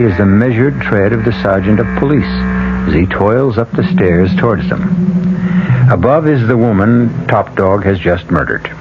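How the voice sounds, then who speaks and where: A man hosting a radio mystery, narrating in a grave, measured, suspenseful and dramatic way. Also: on the low side, very noisy. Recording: dull old radio recording with music.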